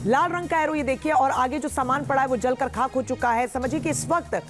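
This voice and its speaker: High-pitched woman news anchor. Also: brisk. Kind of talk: live breaking-news presenting. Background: news music bed.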